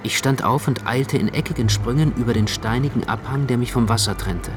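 A man narrating a literary radio play from a script in a measured, precise way. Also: mid-range voice. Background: music.

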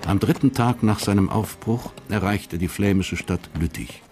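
Low-voiced, sober male radio drama narrator. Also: a little mellow, soft background music. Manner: sober, measured, detached. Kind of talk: scripted literary narration for a radio crime drama.

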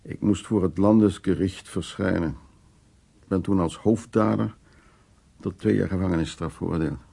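An actor playing an older man, a brooding war survivor telling his memories in a radio drama with a gravelly, husky, halting delivery. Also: deep voice, steady tone, mellow, exaggerated voice. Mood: grim, reflective.

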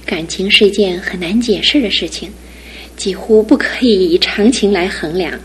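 An actress playing a devoted young fiancée in a studio radio drama, speaking earnestly and with soft insistence. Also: female voice, medium pitch, normal speed, slight background noise, close to an everyday voice, poor audio. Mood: gently firm. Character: devoted young fiancée, gentle but resolute.

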